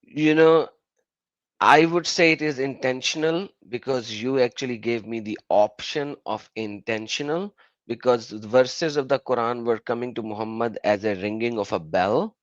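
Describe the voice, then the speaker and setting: A man whose first language is Urdu, hosting a live call-in stream in casual English. He explains with many fillers and an animated delivery. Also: mid-range voice, normal speed, no room sound, highs cut off.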